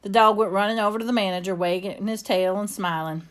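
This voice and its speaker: A woman's voice: medium pitch, quick pace, clean tone, natural voice. Woman voicing an earnest Southern storybook girl. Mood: earnest, wondering. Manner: folksy, plainspoken. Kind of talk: first-person storybook narration.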